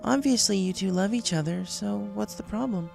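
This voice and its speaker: A performer voicing a calm, caring female pony who advises a friend in a story. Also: medium pitch, moderate pace, creaky, faint music behind, voice pushed up. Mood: gently probing.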